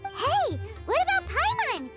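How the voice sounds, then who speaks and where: A woman's voice: very high-pitched, wildly swooping pitch, measured pace, over loud music, heavily acted. A woman voicing a tiny, childlike, chirpy and proud fairy guide in a video game, speaking in exclamations. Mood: perky, proud. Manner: refers to self in third person, exclamatory. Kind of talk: video game character acting.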